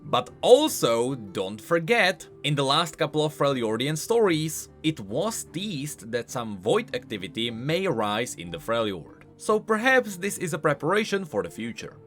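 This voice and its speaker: A man hosting a video game lore explainer, speaking in an animated, emphatic way. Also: a little high, measured pace, few pauses. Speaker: host of a game lore channel. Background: music.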